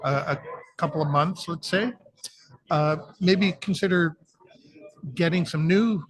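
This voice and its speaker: A hoarse Canadian man co-hosting a radio talk show, his voice worn and slightly rough. He talks in an easygoing, hesitant way, and his pitch sits a little high for a man. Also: slow, low-quality recording. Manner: easygoing, hesitant, many fillers. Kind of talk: casual live radio chat.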